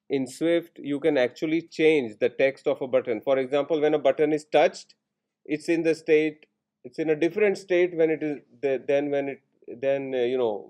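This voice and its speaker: A man lecturing in programming, explaining each step in short instructional phrases with pauses between them. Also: on the high side, dark tone. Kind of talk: step-by-step classroom coding tutorial.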